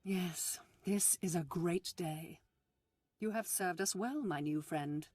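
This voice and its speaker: Low, husky, scheming Sith noblewoman. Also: slow pace, darkish tone, dry recording. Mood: seductive command. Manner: silky, commanding, haughty. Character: scheming, seductive middle-aged Sith noblewoman, coolly imperious. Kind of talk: video game voice acting.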